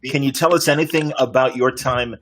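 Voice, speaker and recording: A man's voice: medium pitch, a little fast, fairly bright tone. A man moderating a podcast over a remote call, asking conversational interview questions.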